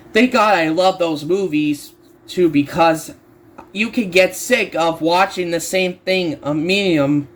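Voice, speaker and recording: A man reading a horror story aloud as an amateur narrator, halting and often stumbling over and repeating words. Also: high-pitched, a bit bright.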